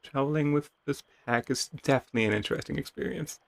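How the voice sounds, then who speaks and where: Higher-voiced man reading game text, dry sound. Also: quick pace, vocal fry, no echo. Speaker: video game streamer reading game text aloud. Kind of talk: dramatic reading of game narration with casual asides.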